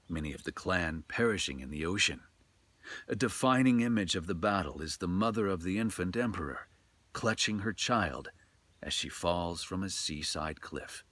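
Slow, low-voiced middle-aged man storytelling. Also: fairly bright tone, slightly rough, dry recording. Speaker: storyteller, narrator. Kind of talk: dramatic scripted story reading. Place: outdoors in a forest.

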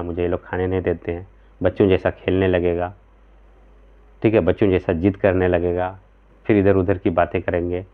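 A man in his thirties talks to camera from a small room at home, explaining remedies in an earnest, step-by-step way. His voice sits low and stays flat in pitch. The recording is thin and tinny, like a phone line. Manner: earnest, explanatory, gesturing with both hands, frequent "theek hai" check-ins. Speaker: homeopathic practitioner.

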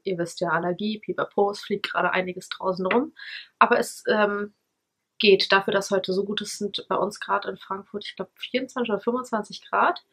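A woman's voice: mid-range voice, steady tone, a little fast, a bit bright, breathy edge. A German woman in her thirties, a little stuffy-nosed, chatting casually in a home vlog as she shows products one by one. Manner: chatty, showing products one by one, lots of fillers. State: slightly stuffy nose. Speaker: vlogger. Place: living room at home. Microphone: camera on tripod at close range.

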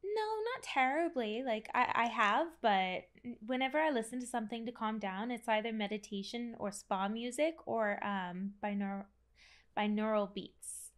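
A young woman chats as a podcast guest, her voice soothing and pleasantly cheery without being overdone. It sits a bit on the high side and stays gentle and easygoing. She is close to a studio microphone. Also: moderate pace. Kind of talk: casual chat. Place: decorated studio set. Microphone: studio mic on boom arm.

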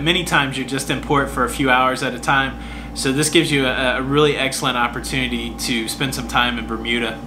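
An American man in his forties hosting a casual cruise news update to camera from a hotel room. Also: medium pitch. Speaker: cruise news video host. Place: small furnished hotel room, indoors. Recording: clearly audible hum, room air-conditioner noise.

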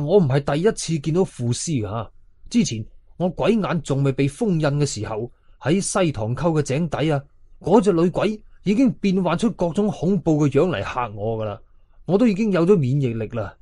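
A man's voice: fairly high pitch, moderate pace, no echo. A man with a Hong Kong Cantonese accent narrating a horror story in a dramatic, suspenseful way. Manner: animated, suspenseful telling.

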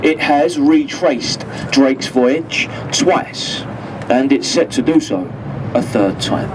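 A man's voice: mid-range voice, takes it slowly, recorded from a distance. Man, tour commentary over a boat PA, heard from afar, engine and street noise behind. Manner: chatty, jokey tour patter. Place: open deck of a river boat, outdoors. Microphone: handheld microphone over a boat speaker, filmed from a distance.

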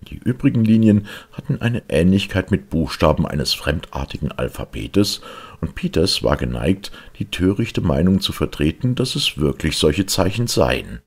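A man with an extremely low voice narrates literary prose. His tone is husky and full of creak, and he reads in a measured, deliberate way. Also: big pitch swings. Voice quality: gravelly, husky. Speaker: audiobook narrator. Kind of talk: literary audiobook reading, scripted narration.

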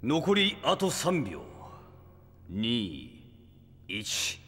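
Arrogant sadistic anime villain, slow pompous gloating delivery, drenched in reverb. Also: male voice, mid-range voice, huge pitch swings, fairly bright tone, heavily acted. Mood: taunting, triumphant. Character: arrogant, sadistic male villain who stops time.

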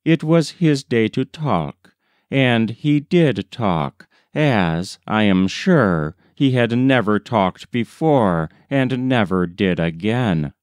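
Slow, smooth-voiced male story reader. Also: on the low side, animated, no echo. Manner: measured, deliberate storytelling. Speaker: audiobook reader. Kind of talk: scripted literary narration of a short story.

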